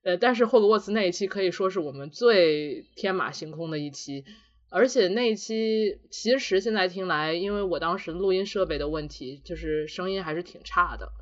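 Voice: medium pitch, moderate pace, muffled recording. A podcast co-host chatting casually in a reflective, self-deprecating way. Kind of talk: casual two-person podcast chat.

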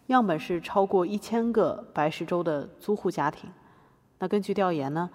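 A defiant activist artist played by a woman pleads her case in a podcast courtroom drama. Her voice is low and rough-edged, with earnest, rhetorical delivery that carries guilt and resolve. Long reverb surrounds her. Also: natural voice.

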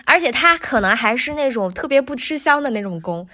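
A woman with a fairly high voice talks casually as a podcast guest. She works out her points aloud, circling back and repeating phrases as she explains. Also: animated, fairly quick, sharp tone. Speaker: guest voice actor.

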